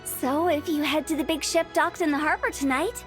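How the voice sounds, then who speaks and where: A woman plays a playful teenage girl for a video game in a very high voice. She is upbeat, cheeky and breezily persuasive, with faint music underneath. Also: steady tone, fairly quick, close to an everyday voice. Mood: breezy, helpful.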